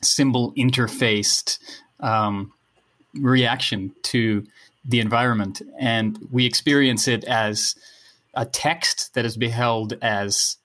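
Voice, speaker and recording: A man's voice: mid-range voice, fairly even intonation, takes it slowly, fairly bright tone. Man musing abstractly. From Netherlands. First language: Dutch. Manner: musing, abstract, many restarts. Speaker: podcast guest, writer. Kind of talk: casual podcast conversation.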